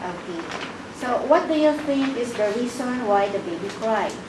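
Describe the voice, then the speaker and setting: A woman interviewing a job applicant, asking brisk questions with frequent "okay, uh" fillers. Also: fairly high pitch, lively intonation. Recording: echoey room, distant mic, background noise.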